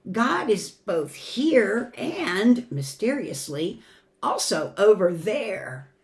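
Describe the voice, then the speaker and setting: A woman with a mid-range voice speaks as a storyteller for young children at church. Her pace is slow and deliberate. She reads expressively, moving between emphatic and hushed delivery. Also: mid-range voice, very animated. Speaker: church family ministry storyteller. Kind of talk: children's picture book read-aloud.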